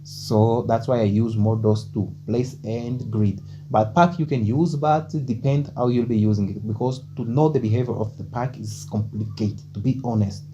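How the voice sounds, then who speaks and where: A man's voice: mid-range voice, steady tone, normal speed, dark tone, soft background music. A man whose first language is Portuguese gives a casual coding lesson in English, chatty and loose, often tagging "okay?" and thinking aloud. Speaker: programming tutor. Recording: dull lo-fi mic with faint hum.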